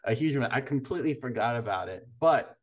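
A man's voice: medium pitch, expressive, fairly quick. A man hosting a casual podcast interview, friendly and teasing, rambling with restarts. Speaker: podcast host.

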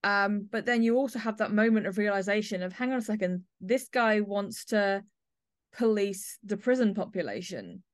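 Female podcast host, mid-pitched, musing conversational delivery, very dry sound. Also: lively intonation, normal speed, dry recording. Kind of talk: interview chat about an audio play.

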